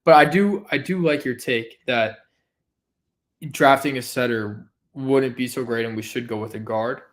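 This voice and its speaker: Man, slightly raspy, medium pitch, eager, podcast co-host. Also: unhurried. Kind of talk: casual sports podcast chat.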